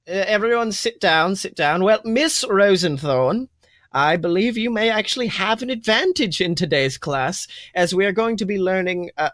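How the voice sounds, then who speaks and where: A man's voice: high voice, fairly bright tone, no echo, studio quality, pitched above the performer's own voice, exaggerated voice. A man voicing a kindly wizard schoolteacher who addresses his class formally in a tabletop game. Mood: formal, encouraging. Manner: formal, orderly, addressing pupils.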